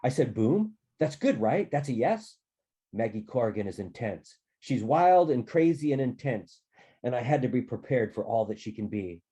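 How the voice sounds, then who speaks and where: A man reading a novel aloud as a goofy, lovestruck, optimistic teenage boy, excitable and earnest in an animated first-person telling. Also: medium pitch, moderate pace, natural voice. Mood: excited, smitten. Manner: excitable, earnest, slangy and emphatic.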